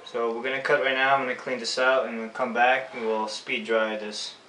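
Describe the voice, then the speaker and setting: A man with a Filipino accent and a bright, forward voice gives a casual hands-on demonstration. He is picked up from a distance in an echoey room. He explains each step in an unscripted, informal way. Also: medium pitch, flat delivery, brisk. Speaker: screen printing instructor. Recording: echoey room, distant mic, faint noise.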